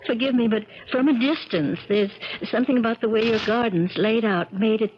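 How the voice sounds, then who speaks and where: A woman's voice: on the high side, fairly quick, lightly acted. An actress in a 1970s radio drama playing an uneasy, genteel young woman visiting her uncle. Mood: charmed, curious. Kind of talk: 1970s radio drama acting, first-person narration and dialogue. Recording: dull crackly 1970s radio recording, music behind.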